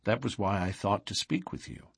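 Man voicing a hushed, troubled older man, husky. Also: lowish voice, animated, moderate pace, no echo, clean studio sound, exaggerated voice. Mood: uneasy, confiding. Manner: hesitant, guarded, given to anguished outbursts. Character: cautious, bitter older man, troubled lodge brother. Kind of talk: audiobook character dialogue.

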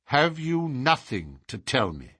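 A man voicing a courteous, assured gentleman detective with a measured, persuasive manner in an audiobook story. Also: medium pitch, very animated, breathy edge, no echo, heavily acted. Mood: firm.

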